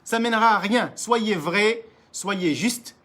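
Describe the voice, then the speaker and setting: A man's voice: high-pitched, slow, a bit bright. A man from Mauritania, an Arabic speaker, delivering an impassioned political monologue in French, emphatic and repetitive, often restarting his words and addressing leaders directly. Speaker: political commentator.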